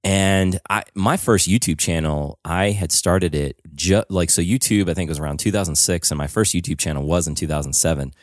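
A deep-voiced man hosts a podcast, wandering through animated stories packed with "like" and restarts. The sound is dry and close, with no room echo. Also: moderate pace, hardly any pauses. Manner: rambling, animated storytelling with many "like"s. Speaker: podcast host. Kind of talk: casual podcast chat.

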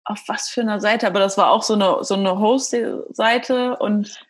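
A woman's voice: medium pitch, fairly even intonation, normal speed, slightly rough. A woman interviewing her guest in a casual chat, friendly and affirming, often laughing along.

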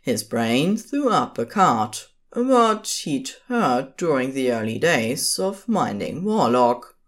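A woman's voice: very deep voice, very animated, slow. A woman narrating scripted fiction as the storyteller of an audio drama.

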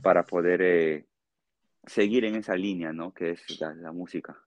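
A man with a Peruvian accent answering interview questions conversationally as a guest, explaining in a halting way and often checking with a short tag question. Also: sits low, fairly even intonation, dry recording. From Peru. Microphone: remote call.

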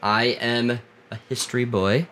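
A man in his thirties in casual comedic podcast chat, excitable and swearing, repeating himself for emphasis. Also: medium pitch, unhurried. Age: 30s. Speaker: podcast co-host. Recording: faint background noise.

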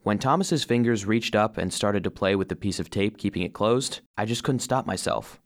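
A man's voice: lowish voice, normal speed, no room sound, studio quality, lightly acted. A man playing an earnest, loyal little robot vacuum cleaner who narrates a children's audio story. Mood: earnest, storytelling. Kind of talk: scripted first-person children's story narration.

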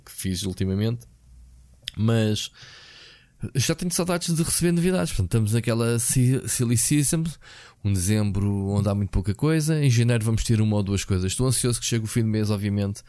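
A hoarse man with a European Portuguese accent, co-hosting a podcast in a relaxed, rambling chat full of fillers and restarts. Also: fairly low pitch, normal speed, no echo. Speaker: podcast co-host. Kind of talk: casual chat about video games.

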